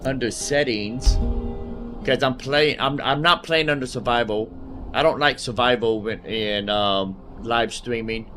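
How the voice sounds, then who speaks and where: Male game streamer, mid-pitched, casual and rambling, thinking aloud, prominent music underneath. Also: unhurried, sharp tone. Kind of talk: casual live gameplay commentary.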